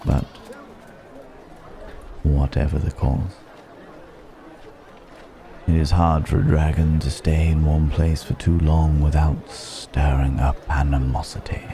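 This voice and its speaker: Casting: male actor for ancient dragon in disguise, ASMR drama. Extremely low, dark velvety voice, wry, curious, archaic turns of phrase, music and crowd behind. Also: level tone, creaky, slight background noise, full character voice. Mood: grave, guarded. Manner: musing, archaic formal phrasing, unhurried hums. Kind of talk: ASMR roleplay audio drama.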